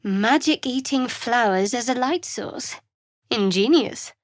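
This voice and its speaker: An actress playing a guarded young cleric of a dark goddess in a video game, with a dry, sardonic, clipped manner. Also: female voice, fairly high pitch, expressive, slow, dry recording. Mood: dry, wry. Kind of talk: video game character voice lines.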